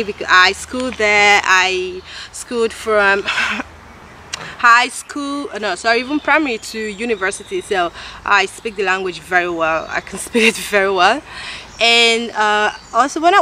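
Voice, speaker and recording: A Nigerian woman in her thirties chats to the camera outdoors, pausing and restarting her sentences as she goes. Her Nigerian English accent is clear, and her pitch sits in a middle range. Birds and traffic are heard clearly around her in this noisy park recording. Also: bright, forceful tone. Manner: hesitant, halting, restarts and corrects herself often. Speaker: vlogger. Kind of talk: casual talk to camera.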